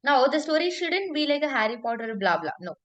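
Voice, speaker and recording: A woman's voice: sits high, very animated, brisk, muffled recording. A woman works as a public speaking coach. She explains in an emphatic, conversational way, repeating phrases for weight and checking in with short tag questions.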